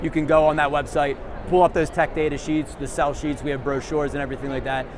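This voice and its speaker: A man's voice: on the high side, level tone, fast talker. A man in his thirties, a manufacturer's rep, walking a visitor through building products at a trade show, upbeat and salesy. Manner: upbeat, salesy explaining with hand gestures. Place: large indoor trade show hall. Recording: busy convention hall with crowd noise. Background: crowd chatter.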